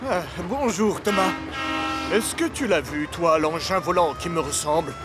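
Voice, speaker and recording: An earnest, wistful engine from a children's cartoon, played by a man. The voice is high and swings with lively expression, polite and a little puzzled. Music plays behind it. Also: moderate pace, natural voice. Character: earnest, wistful railway zeppelin engine who longs to fly. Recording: music and vehicle sounds behind.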